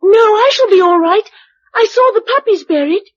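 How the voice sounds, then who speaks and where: High-voiced, earnest little English girl. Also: animated, moderate pace, no echo, lo-fi sound. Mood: subdued, matter-of-fact. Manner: plain-spoken, childlike, earnest. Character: brave, matter-of-fact nine-year-old orphaned English girl. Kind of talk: radio drama acting.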